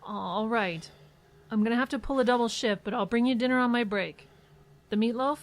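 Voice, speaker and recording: An actress playing a worried, patient adult daughter in an audio drama, earnest and gently insistent. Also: female voice, fairly high pitch, normal speed, natural voice. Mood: tender, patient.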